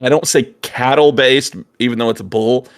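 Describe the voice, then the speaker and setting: A man's voice: mid-range voice, fairly even intonation, normal speed, smooth, no room sound, studio quality. A man in a casual chat, talking hesitantly as he thinks aloud. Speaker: guest co-host.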